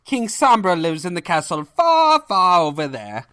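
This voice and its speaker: A man's voice: sits high, huge pitch swings, measured pace, a bit bright, clear, resonant tone, no echo, studio quality, pitched above the performer's own voice, full character voice. A man voicing a frail elderly stallion pony in a storybook reading, with a mild, kindly, rambling manner. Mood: mild, helpful. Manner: rambling, kindly, innocent-sounding. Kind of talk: audiobook character voice, storybook.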